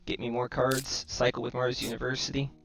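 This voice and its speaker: A man's voice: mid-range voice, level tone, brisk, vocal fry, a bit bright. A man with robotic microphone distortion, giving casual gameplay commentary and thinking aloud in short, clipped remarks. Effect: robotic-sounding microphone distortion. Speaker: gamer commentating own match. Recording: robotic, glitchy microphone sound. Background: music.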